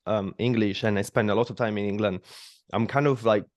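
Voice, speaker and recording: A man from Italy with an Italian accent, hosting a podcast interview, speaking haltingly in English with many restarts and fillers. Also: lowish voice, steady tone, darkish tone, no echo, dull audio. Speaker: podcast host. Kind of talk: casual interview conversation.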